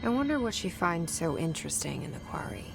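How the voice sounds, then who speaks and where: A woman's voice: on the low side, very animated, fairly quick, creaky, over music. A woman playing the guarded inner voice of a video game heroine, dry, understated and observant, as if thinking to herself. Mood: wry, pondering. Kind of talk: internal monologue in a video game.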